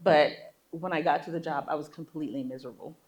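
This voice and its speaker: A woman's voice: low voice, steady tone, fast, darkish tone. A woman in her forties telling her story casually and candidly as an interview guest, with a wry touch. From born in Guyana, Guyanese immigrant family. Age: 40s. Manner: candid, wry, chuckles at own asides.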